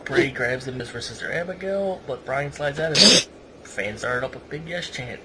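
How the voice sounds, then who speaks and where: A man's voice: medium pitch, lively intonation, normal speed, over a noisy crowd. A man hosting a casual podcast, reading a match report aloud and dropping in wry asides. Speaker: podcast host. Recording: noisy amateur podcast recording.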